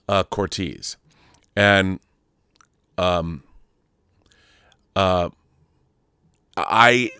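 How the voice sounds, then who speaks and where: A man's voice: fairly low pitch, a bit bright, dry recording, clean studio sound. A middle-aged man hosting a film channel, talking casually off the cuff in a rambling, emphatic storytelling way and often correcting himself. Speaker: host of a film discussion channel. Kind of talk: casual unscripted monologue to viewers.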